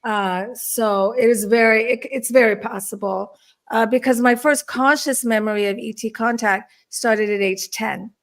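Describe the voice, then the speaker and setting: A woman's voice: a little high, steady tone, moderate pace. A woman from Turkey who grew up in the Washington DC area, talking conversationally as an interview guest and telling personal stories. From born in Istanbul, Turkey; grew up in the Washington DC area. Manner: frequent throat clearing. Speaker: guest, spiritual counselor and experiencer.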